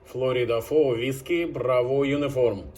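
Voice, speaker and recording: Ham radio operator, man, English, hesitant, plenty of "uh", mid-pitched. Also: a little mellow, slight background noise. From France. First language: French. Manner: hesitant, halting English with many fillers. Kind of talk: ham radio contact exchange. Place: home station by a balcony antenna.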